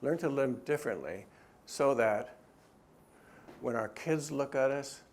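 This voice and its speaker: A man's voice: mid-range voice, unhurried, slight background noise. A middle-aged man, the author, gives an earnest talk at a church and answers questions, often stopping and restarting. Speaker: author and speaker.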